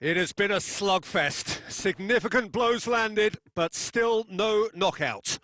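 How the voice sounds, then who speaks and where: A man's voice: high-pitched, slow pace, pushed and bright, no echo, full character voice. A man voicing a football video game's play-by-play commentator, with dramatic, punchy phrasing. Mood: engaged, dramatic.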